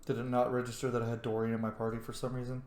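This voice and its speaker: A man's voice: mid-range voice, completely flat delivery, racing, darkish tone, echoey room. A man giving casual gameplay commentary, often muttering to himself as he plays. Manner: mutters to himself while playing. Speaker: game streamer, let's player.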